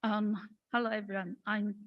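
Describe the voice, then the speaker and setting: A woman's voice: mid-range voice, level tone, normal speed, dry recording, highs cut off. Woman, hesitant audience speaker, dry close mic. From Taiwan. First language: Mandarin Chinese. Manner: hesitant, many fillers. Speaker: audience member speaking from the floor.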